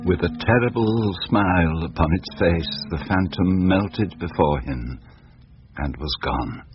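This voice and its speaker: A man with an English accent narrating a story in a measured, literary, dramatic style. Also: sits low. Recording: muffled, faint music behind.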